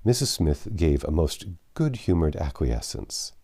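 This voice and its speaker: A man with a deep voice narrates a classic novel. His tone is dark and mellow, and his intonation moves expressively through the scripted prose as an audiobook narrator. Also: big pitch swings, a little slow, slightly rough.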